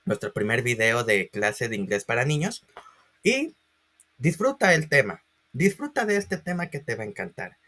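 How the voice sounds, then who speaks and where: A man presenting an informal online class as a teacher, expressive and speaking directly to his listeners. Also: medium pitch. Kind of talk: informal educational lesson for video.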